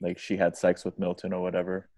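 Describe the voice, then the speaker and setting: Lower-pitched man in casual chat. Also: monotone, quick pace, over a noisy crowd.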